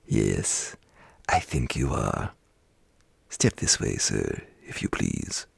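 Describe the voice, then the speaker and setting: Man voicing gravelly, very deep old gentleman boss. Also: big pitch swings, dry recording, exaggerated voice. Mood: mock stern. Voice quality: growling, gravelly. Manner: stern, deliberate, formally polite. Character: old gentleman boss, gruffly feigning anger, secretly merry. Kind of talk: whispery bedtime storytelling.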